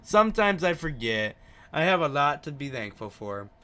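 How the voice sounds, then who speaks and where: A man's voice: fairly high pitch, very animated, normal speed, sharp tone. A man voicing a polite, self-effacing male writer from a visual novel, speaking with some hesitation. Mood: modest. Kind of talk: visual novel character read aloud.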